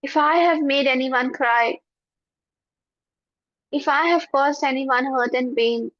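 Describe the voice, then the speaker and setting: A woman leading a guided forgiveness meditation in a soft, prayerful voice with slow repetitions. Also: high voice, no echo. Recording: dull lo-fi, dry.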